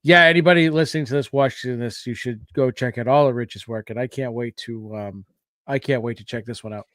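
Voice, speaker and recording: A man's voice: mid-range voice, a little fast, fairly bright tone, no echo, clean studio sound. A man co-hosting a podcast in casual, appreciative chat, feeling his way through his phrasing. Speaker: podcast co-host.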